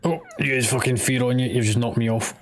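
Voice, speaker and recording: A man's voice: medium pitch, fairly even intonation, fast talker, slight background noise. A Scottish man giving matter-of-fact, directive instructions to teammates as raid leader in an online game. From Scotland.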